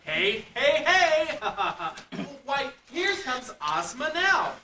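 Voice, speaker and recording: A woman's voice: high-pitched, big pitch swings, drawn-out words, pushed and bright, slight background noise, full character voice. A woman playing a cheerful, easily muddled scarecrow in a children's puppet play, with an exclamatory, theatrical delivery. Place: puppet show stage in a convention room. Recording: echoey distant camcorder recording, music behind.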